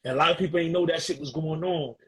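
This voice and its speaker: A man's voice: a little high, fast talker, breathy edge. A man in his forties talking casually and emphatically on a podcast, repeating phrases and using street slang. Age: 40s. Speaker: guest.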